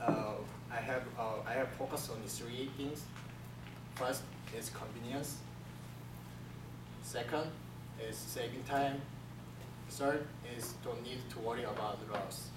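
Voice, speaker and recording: A young man from South Korea with a Korean accent, giving a class presentation in halting second-language English with many restarts. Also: mid-range voice. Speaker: student presenter. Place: classroom with projector screen. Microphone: far camera. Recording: echoey room from far off, room hiss.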